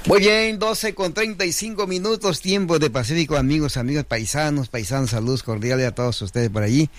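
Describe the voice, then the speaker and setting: A man's voice: on the high side, a little fast. A man hosting a bilingual community radio program, from Oaxaca, Mexico, who greets listeners formally and reads out long lists of villages. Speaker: radio host.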